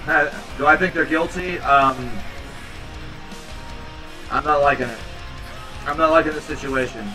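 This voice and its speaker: A man in his thirties hosting a home livestream, chatting casually with viewers in a rambling, low-key, tired way. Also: unhurried, pauses often, distant mic, music in the background, poor audio. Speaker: livestream host reading chat. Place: small home room indoors. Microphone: headset.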